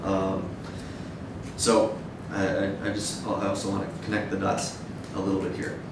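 Male academic, deep voice, measured and hesitant lecture delivery, recorded from afar in a reverberant room. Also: completely flat delivery. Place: dim wood-shelved seminar room with audience, indoors. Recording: echoey room, distant mic, noisy rumble.

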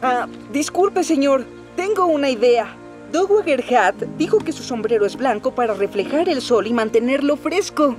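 A woman's voice: sits high, expressive, normal speed, over music. A woman voicing a polite, helpful young male tank engine in a children's cartoon, speaking earnestly. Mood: polite, eager. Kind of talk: animated children's series dub.

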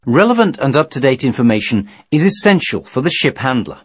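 A man reading scripted instructional narration in a measured, formal, didactic manner. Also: mid-range voice, sing-song, husky, no room sound. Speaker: training video narrator.

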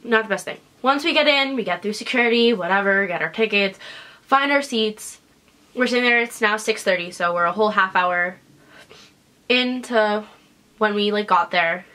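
A young woman vlogging casually from her bedroom, chatty and animated, with a congested, sniffly voice, talking to a camera in front of her. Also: mid-range voice, normal speed. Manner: chatty and animated, lots of "like" and "whatever". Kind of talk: casual storytelling vlog. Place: small bedroom, indoors. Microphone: camera in front at desk distance.

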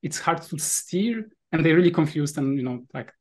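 A man's voice: fairly high pitch, lively intonation, moderate pace, a little mellow. A man in his thirties from Poland with a Polish accent, a guest on a video call, explaining things thoughtfully with many fillers and restarts. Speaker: guest, VR sailing app developer. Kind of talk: casual interview answers.

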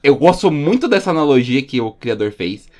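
A man's voice: mid-range voice, sing-song, fairly quick, a little mellow. A man with a Brazilian accent giving enthusiastic, informal fan commentary as a YouTube host, using plenty of slang. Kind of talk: casual fan commentary voice-over. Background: music under the voice.

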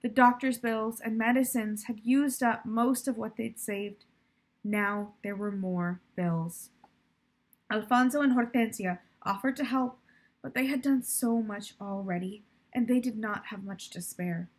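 A young adult woman reads a children's story aloud. Her voice sits a little high and has a husky edge. She delivers it as narration, like a teacher reading to a class. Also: measured pace. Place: plant-filled room indoors by a window.

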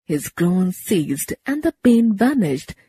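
Slow, animated woman storyteller. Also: mid-range voice, breathy edge, dry recording. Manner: measured, expressive storybook delivery. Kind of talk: scripted children's story narration.

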